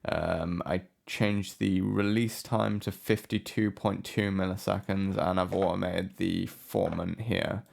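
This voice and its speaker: A man giving a casual software tutorial in a hesitant, offhand, unscripted way. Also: deep voice, little pitch movement, measured pace, creaky, hardly any pauses. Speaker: music production tutorial host. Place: indoor room with a window.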